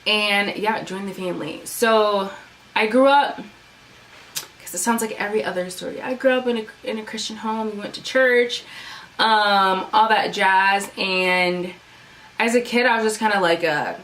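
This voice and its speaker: A woman's voice: medium pitch, unhurried, a bit bright. A young woman from the United States with a Sudanese family, chatting casually on her vlog, rambling with many fillers. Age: young adult. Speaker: YouTuber. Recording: mic at a distance, faint room noise.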